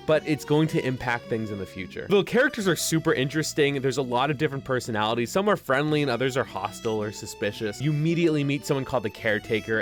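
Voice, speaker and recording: Man, mid-pitched voice, video game review voice-over, casual and conversational, background music. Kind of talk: semi-scripted review voice-over. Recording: music under the voice.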